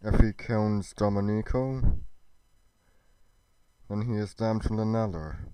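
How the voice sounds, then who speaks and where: Man voicing a slow, hushed scheming goddess. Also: fairly low pitch, fairly even intonation, clear, resonant tone, no room sound, low-quality recording. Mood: cold, scheming. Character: cold, scheming goddess, proud wife and mother.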